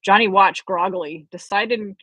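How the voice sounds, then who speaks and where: A woman's voice: mid-range voice, moderate pace, bright, forceful tone, no echo, rough recording. A woman reading a story aloud expressively as an amateur audiobook reader.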